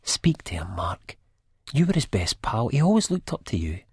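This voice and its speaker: A man's voice: on the low side, big pitch swings, normal speed, slightly rough, no room sound, studio quality, voice pushed up, full character voice. A man voicing a weary, concerned woman, speaking earnestly and pleadingly as a character in an audiobook. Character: weary, concerned woman friend confiding in the narrator.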